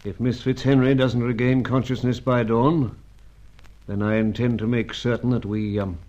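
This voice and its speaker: Through dull, lo-fi radio serial sound comes an actor as an older spy chief of medium pitch. He is grave and paternal. His speech is measured and a little hesitant. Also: little pitch movement, dark tone, lightly acted. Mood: grave, resigned. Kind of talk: old-time radio drama acting.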